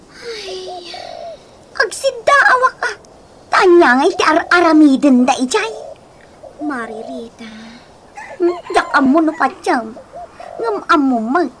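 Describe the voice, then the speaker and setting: A woman's voice: very high-pitched, full character voice. A woman with an Ilocano accent acting an emotional young wife in a radio drama. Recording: noisy radio drama recording.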